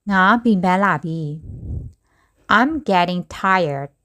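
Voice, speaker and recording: A woman teaching English phrases, repeating each slowly word by word and then giving a translation. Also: on the low side, expressive, a bit bright, clean tone. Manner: slow, deliberate, word-by-word repetition.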